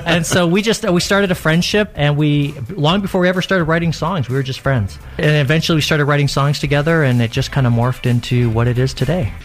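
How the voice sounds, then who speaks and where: A man, a worship pastor guesting on a radio interview, telling stories in a calm, sincere way. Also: a little high, brisk, few pauses. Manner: reflective storyteller, calm and sincere.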